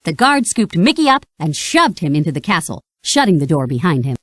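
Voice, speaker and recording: Animated woman narrating a children's storybook. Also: low-pitched, a little fast, no echo. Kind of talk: scripted children's read-along narration.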